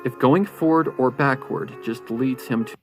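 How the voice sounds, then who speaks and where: A man's voice: mid-range voice, moderate pace. A man narrating a horror story in a suspenseful, theatrical way. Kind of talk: dramatic horror fan-fiction narration. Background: faint music.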